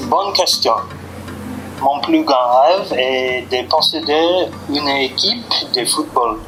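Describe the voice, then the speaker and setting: A man speaks slow, deliberate French with small hesitations. He has a medium-pitched voice and a plain delivery. Background music plays clearly behind him. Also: rough recording. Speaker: beginner French student, guest.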